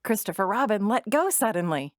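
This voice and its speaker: A woman narrating a children's storybook drama in an expressive, deliberate storytelling manner. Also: mid-range voice, dry recording.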